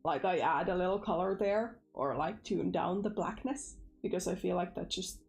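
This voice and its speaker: A woman's voice: on the low side, normal speed, vocal fry, a little mellow. Chatty Finnish-accented woman streamer. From Finland. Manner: chatty, lots of fillers, laughs easily. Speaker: livestream host, animator. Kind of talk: casual livestream chat.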